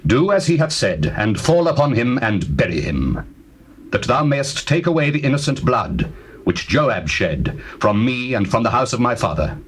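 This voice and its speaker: A man playing King Solomon, a regal, devout ruler, with measured, formal declamation in a dramatized scripture reading on a noisy old cassette. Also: mid-range voice, big pitch swings, natural voice. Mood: stern, commanding. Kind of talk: dramatized scripture reading, classical stage acting.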